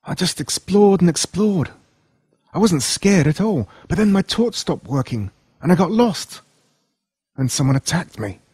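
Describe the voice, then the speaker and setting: A man plays a scared, worn-out boy from a horror audiobook with an Australian accent. The voice sits well above a grown man's usual pitch, with emphatic, exclaiming delivery and a slightly rough edge. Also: sing-song, a little slow, warm tone, dry recording, studio quality, full character voice. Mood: shaken bravado. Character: scared, hurt boy, drained and bewildered best friend. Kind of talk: acted dialogue in horror audiobook.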